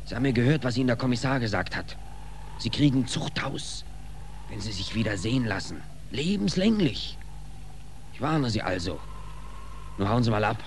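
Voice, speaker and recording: A man acting as a bossy, furtive policeman in an old radio play. His mid-pitched voice is brusque and impatient as he gives orders. Faint noise sits on the vintage recording. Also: animated, normal speed, pauses often, lightly acted. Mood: stern warning. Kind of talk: 1940s German radio drama acting.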